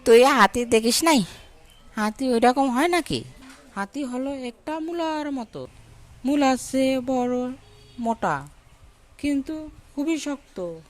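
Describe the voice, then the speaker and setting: A high-voiced, slow-spoken blind villager played by a woman in a children's cartoon. The figure is scornful and emphatic, scolding as if certain of being right. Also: expressive. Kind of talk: children's cartoon story acting.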